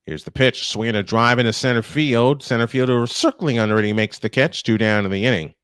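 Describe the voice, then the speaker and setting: A man's voice: medium pitch, fairly quick, fairly bright tone, clear, resonant tone, no echo, studio quality. A man calling a baseball game live as play-by-play announcer, with conversational, opinionated asides. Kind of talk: live sports commentary.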